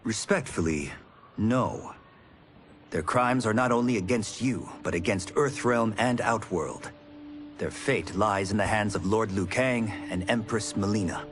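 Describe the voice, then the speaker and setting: A man's voice: fairly low pitch, unhurried, music in the background, lightly acted. A man playing a righteous, respectful warrior monk in a game cutscene, formal and firm. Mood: resolute. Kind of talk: dramatic video game cutscene acting. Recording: cinematic score under the voice.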